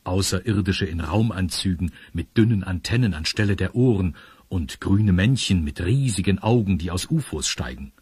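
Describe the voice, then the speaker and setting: A man with a husky voice reading scripted narration for a children's audio story. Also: low-pitched, lively intonation, normal speed, a little mellow, dry recording. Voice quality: husky, raspy. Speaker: narrator of a children's radio play.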